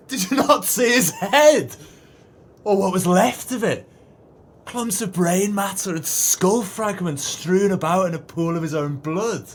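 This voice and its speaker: An actor in an audio play voicing a callous, arrogant swindler and killer. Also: male voice, high voice, slow. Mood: gleeful. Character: callous, smug swindler and murderer, businessman. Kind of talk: audio drama acting.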